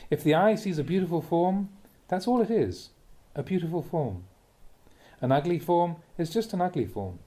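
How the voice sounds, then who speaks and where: A man reading a Buddhist teaching aloud as a Dhamma talk, in measured, deliberate phrases with emphatic contrasts. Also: a little high, expressive, dark tone.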